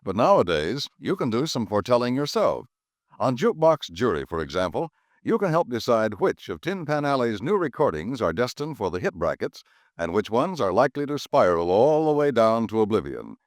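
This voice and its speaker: Animated 1950s radio announcer. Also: male voice, mid-range voice, brisk, dry recording, studio quality. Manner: smooth, polished announcer delivery.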